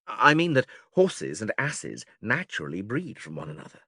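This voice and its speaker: A patient, didactic philosopher leads a formal dialogue step by step. His pitch swings very widely as he questions and instructs. The voice is noticeably husky and recorded close and dry. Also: wildly swooping pitch, normal speed, sharp tone, dry recording, full character voice. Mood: methodical. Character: male philosopher stranger, patient, methodical, leading an argument. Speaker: audiobook actor reading philosophical dialogue. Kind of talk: dramatised classical dialogue reading.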